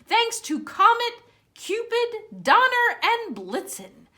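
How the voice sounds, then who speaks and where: Woman as jolly old Santa, storybook character, exuberant calling, high and bright delivery. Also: big pitch swings, slow, heavily acted. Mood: jolly, exultant. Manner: exuberant, exclaiming, calling out names one by one. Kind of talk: children's storybook read-aloud.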